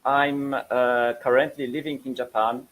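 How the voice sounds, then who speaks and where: Polish man, 30s, webinar presenter, hesitant, mid-pitched voice. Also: little pitch movement, a little slow, a bit bright, rough recording. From Poland. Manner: hesitant, many fillers and repeated words.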